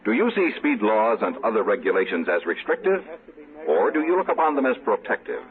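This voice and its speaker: Animated American announcer, thin old radio sound. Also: male voice, medium pitch, a little fast, phone-quality sound. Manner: earnest, formal, admonishing. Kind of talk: scripted vintage radio public-service announcement.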